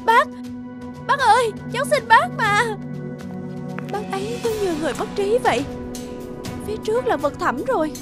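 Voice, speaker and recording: A devoted young village woman from a cartoon folk tale. Her very high, gentle voice pleads earnestly and respectfully, over music. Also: expressive, normal speed, pauses often. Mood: urgent, pleading. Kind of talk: animated folk tale voice acting. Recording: loud music behind the voice.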